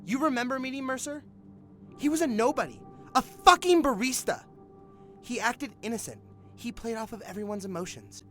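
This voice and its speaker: A man's voice: extremely high pitch, expressive, normal speed, soft background music. Man acting a hard-nosed, accusing detective. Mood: impassioned, bitter. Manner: forceful, sneering, profane, insistent. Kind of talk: audio drama acting.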